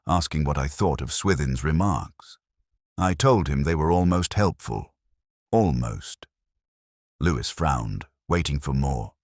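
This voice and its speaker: A man narrating a first-person detective story in a measured, brooding literary manner. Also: a bass voice, no echo, clean studio sound.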